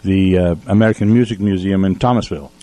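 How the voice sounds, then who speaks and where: A man's voice: low-pitched, steady tone, quick pace, mellow. A man hosting a radio show in casual chat, with a folksy turn of phrase, speaking into a studio microphone.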